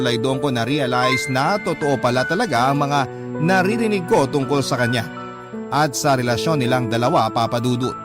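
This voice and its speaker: A man's voice: medium pitch, close to an everyday voice. Actor as earnest Filipino man narrating over music. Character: earnest young man narrating his love story as letter. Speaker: story narrator. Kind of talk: earnest first-person scripted radio drama narration. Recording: clear music bed behind the voice.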